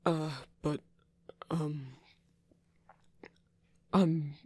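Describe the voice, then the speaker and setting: ASMR roleplay, man acting gentle werewolf, nervous, very breathy, slow, stammering, apologetic. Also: on the high side, flat delivery, no echo, full character voice. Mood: nervous, earnest. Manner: halting, stammering, hesitant.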